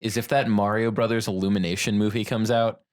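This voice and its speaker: A man's voice: fairly low pitch, flat delivery, a little fast. A young man co-hosting a podcast, talking casually in a wry, joking way. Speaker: podcast co-host. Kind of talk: casual pop-culture chat.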